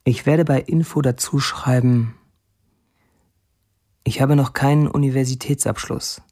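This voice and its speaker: An actor plays a wry young man with a middle-pitched voice. He delivers a blunt, dry first-person monologue in a matter-of-fact way, as in a radio play. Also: steady tone, a little slow, darkish tone, natural voice. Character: wry 29-year-old Syrian man narrating his blunt online posts.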